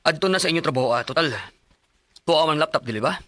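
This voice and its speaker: A man's voice: fairly high pitch, bright, forceful tone, no echo. An actor playing a breezy, demanding male company boss in a Bisaya radio drama, with a Cebuano accent. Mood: dismissive. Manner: brisk, bossy, casually dismissive.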